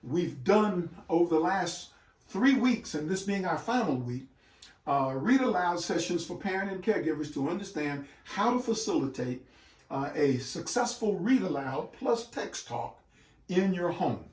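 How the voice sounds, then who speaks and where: A man giving an instructional address to parents as a literacy educator, speaking emphatically and deliberately with dramatic pauses. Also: high voice, big pitch swings. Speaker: literacy educator hosting a read-aloud session for parents. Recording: echoey room, distant microphone.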